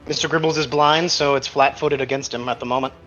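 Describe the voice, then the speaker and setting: A man's voice: medium pitch, steady tone, quick pace, fairly bright tone, muffled recording. A man casually narrating and refereeing a tabletop roleplaying game as game master. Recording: music playing behind, limited band. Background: background music.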